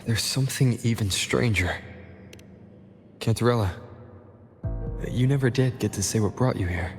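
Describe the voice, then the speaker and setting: A man's voice: medium pitch, normal speed, close to an everyday voice. A man voicing a serious, resolute young adventurer in a video game, pensive and earnest. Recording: music behind, long hall reverb.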